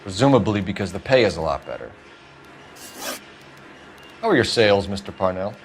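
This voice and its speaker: A man's voice: on the low side, sing-song, normal speed, a little hiss or hum. An actor playing a stern, pressing, sardonic male police detective in a video game. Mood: probing. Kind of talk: acted video game dialogue.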